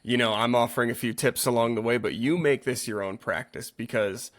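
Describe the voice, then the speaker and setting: A man's voice: medium pitch, brisk. A man speaking as a yoga teacher and podcast co-host, explaining things earnestly in casual conversation and often correcting himself as he goes.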